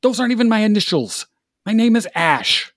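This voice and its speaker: Sketch role: a man doing a fed-up, dry-voiced office worker, lively pitch, dead-dry sound. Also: fairly quick, no room sound. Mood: exasperated. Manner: dry, then exasperated. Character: fed-up male office worker who acts. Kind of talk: comedy sketch.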